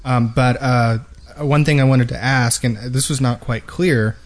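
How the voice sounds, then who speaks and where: A man with a mid-pitched voice hosts a casual gaming podcast. He rambles in a self-deprecating way, speaking close to a boom-mounted microphone in his home studio. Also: steady tone, measured pace. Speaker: podcast host. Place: home studio with unpadded walls. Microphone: boom-mounted microphone close in front of mouth.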